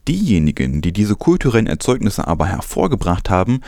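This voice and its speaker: A man's voice: sits low, sing-song, brisk, a little mellow, no echo, clean studio sound. A man presenting scripted news with some commentary.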